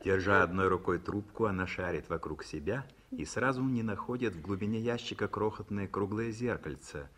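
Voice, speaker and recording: A low-voiced man narrates an old Soviet radio drama. His slightly rough, husky tone moves expressively as he reads out scripted stage directions. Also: expressive, normal speed, hardly any pauses, fairly bright tone.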